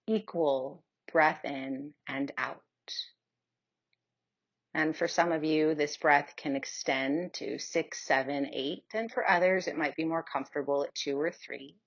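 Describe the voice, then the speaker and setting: A woman leading a guided yoga nidra meditation in a soothing, unhurried manner with long pauses. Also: sits low, lo-fi sound.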